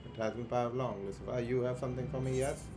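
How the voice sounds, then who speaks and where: A man streaming a game, reading the on-screen dialogue aloud slowly and plainly, with stumbles and restarts. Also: mid-range voice, steady tone, music in the background.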